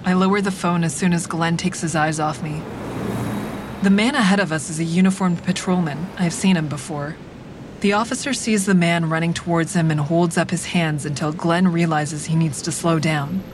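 Over clear rumbling traffic noise, an actress plays a hard-edged woman narrating her own drama. Her voice is on the low side, and her delivery is wry, frank and deliberate, in clipped sentences. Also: steady tone, close to an everyday voice. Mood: watchful, reflective. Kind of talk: scripted first-person dramatic narration.

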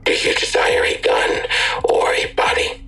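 A man playing a sinister dark-net chatbot dealer in an audio drama, cryptic and coldly insistent, with a gravelly, breathy near-whisper. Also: faint music behind. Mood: sinister, tempting. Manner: deliberate, cryptic, menacing. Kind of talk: full-cast audio drama acting.

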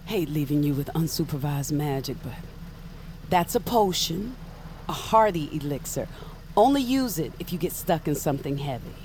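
A woman's voice: low voice, expressive, normal speed, darkish tone, slight background noise. An actress playing a blunt, protective witch mentor in an audio drama, with a dry, earnest manner. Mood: firm, concerned.

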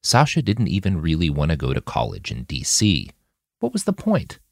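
A man reading scripted fiction narration in an expressive, dramatic storytelling manner. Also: very deep voice, normal speed, creaky, no room sound, clean studio sound. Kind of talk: scripted audiobook-style narration of a dystopian war novel.

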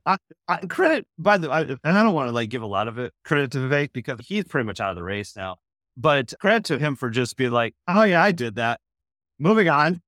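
A man's voice: medium pitch, sing-song, quick pace, fairly bright tone, dry recording. The sardonic guy a listener would hear as the guest on a chatty comedy podcast, recorded dry and close. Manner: wry, sarcastic. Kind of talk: casual comedic chat.

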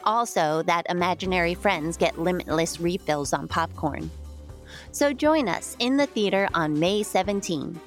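Playful lower-voiced woman presenting an ad. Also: moderate pace, soft background music. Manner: playful, conversational, addressing kids. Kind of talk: scripted chatty children's podcast ad.

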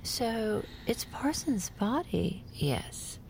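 A woman playing a gossipy, incredulous true crime podcast host in an audio drama, telling it with hushed, dramatic emphasis. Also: mid-range voice, very animated, drawn-out words, creaky, slight background noise, exaggerated voice, rough recording. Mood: hushed, suspenseful. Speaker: actor in a fiction podcast. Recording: loud music behind the voice.

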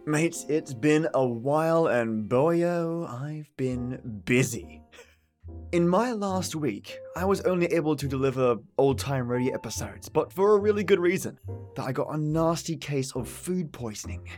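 A man's voice: on the high side, lively intonation, measured pace, darkish tone. A man hosting a podcast with a chatty, theatrical introduction, stretching words for emphasis. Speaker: podcast host. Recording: music behind the voice.

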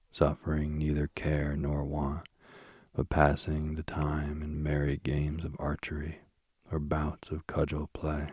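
A man hosting a sleep podcast and reading a bedtime story softly, slowly and soothingly, while he is losing his voice. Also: very deep voice, breathy edge, no room sound, clean studio sound. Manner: slow, gentle and soothing. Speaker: sleep podcast host and reader. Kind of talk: soft bedtime story narration. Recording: faint music behind.

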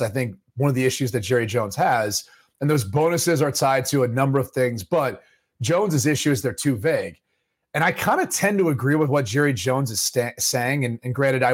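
Husky-voiced man bantering about football. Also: mid-range voice. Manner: joking, teasing, rambling with many fillers. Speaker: sports podcast co-host.

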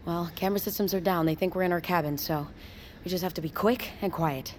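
A woman's voice: on the low side, quick pace, a little hiss or hum, natural voice. An actress in an audio drama playing a serious, determined ex-Navy woman with a focused, urgent manner. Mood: insistent. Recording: loud music bed. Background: loud dramatic music.

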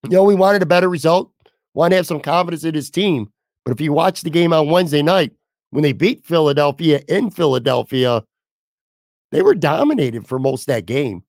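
A man hosting a solo sports podcast, hoarse as he loses his voice, blunt and casual with some swearing. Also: on the high side, expressive, fairly quick, a little mellow, dry recording, clean studio sound. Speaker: podcast host.

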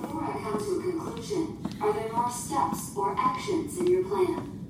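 A woman's voice: very high voice, big pitch swings, moderate pace. A woman playing a literal, formal ship computer in a science fiction audio drama, speaking in precise, emotionless phrasing. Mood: calm, formal. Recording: very echoey, distant, noisy ambience.

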